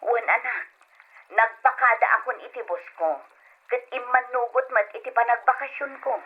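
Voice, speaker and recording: A thin, phone-like line carries an actress as an earnest, concerned mother in an old radio play. Her voice is somewhat high, with a breathy roughness. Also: moderate pace, close to an everyday voice. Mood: gentle, regretful. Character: concerned mother working away from home. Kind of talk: old radio drama acting.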